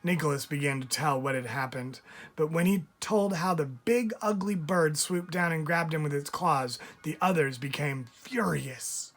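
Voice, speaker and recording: A man, a teacher, reading a picture book aloud in an expressive storytelling style, stressing key words. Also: on the high side, normal speed. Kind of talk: expressive read-aloud narration for children. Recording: music under the voice. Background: music.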